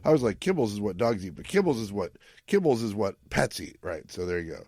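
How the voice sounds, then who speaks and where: A man's voice: fairly low pitch, sing-song, a little fast, darkish tone, dry recording, clean studio sound. A man hosting a podcast in a casual, playful and informal way as he recaps and reviews a story. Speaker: podcast host.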